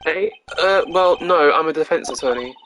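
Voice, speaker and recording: A woman's voice: low voice, moderate pace, a bit bright, slight background noise. A woman voicing an earnest, flustered young male defense attorney in a video game, questioning and a little hesitant.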